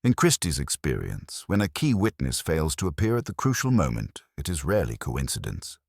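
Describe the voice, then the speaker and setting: A meticulous older detective, as a man puts him on, speaking in a measured, formal way. His voice sits very deep but moves lively up and down. The sound is close and dry. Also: no echo, full character voice. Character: fussy, meticulous older male Belgian detective.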